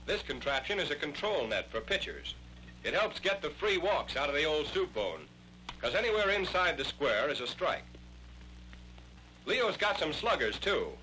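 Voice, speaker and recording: A man's voice: fairly high pitch, big pitch swings, normal speed, sharp tone. An American man narrating a scripted 1940s sports newsreel in a jaunty, wry, wisecracking manner. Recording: noisy vintage 1940s newsreel soundtrack.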